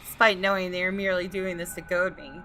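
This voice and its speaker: A woman's voice: fairly low pitch, little pitch movement, fast talker, sharp tone. A woman gaming YouTuber reading visual novel narration aloud in a dramatic, storylike way, with game music behind her.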